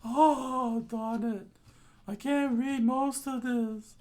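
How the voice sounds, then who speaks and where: Brash youngster, very high pitch, whiny, greedy, excitable, story character. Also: male voice, drawn-out words, exaggerated voice. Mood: whiny, eager.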